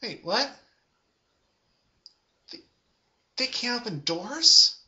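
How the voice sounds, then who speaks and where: Man, very bright forceful voice, lively swings, sarcastic comic reviewer, slightly dull recording. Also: very high-pitched, drawn-out words.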